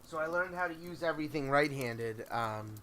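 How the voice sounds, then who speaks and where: A man hosting a story-reading livestream, chatting casually in a halting, think-out-loud way over background noise. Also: a little high. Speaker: host of a spooky story reading stream. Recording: clear steady background noise.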